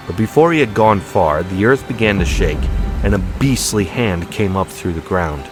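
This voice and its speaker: A man giving a dramatic, scripted audiobook narration of a story, with music behind him. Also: low voice.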